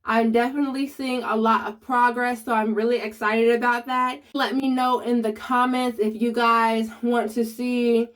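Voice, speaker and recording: A woman's voice: on the high side, level tone, normal speed, few pauses. A woman vlogger in a casual how-to video, chatty and speaking straight to her viewers, with a slight lisp from new aligners. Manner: chatty, addresses viewers directly.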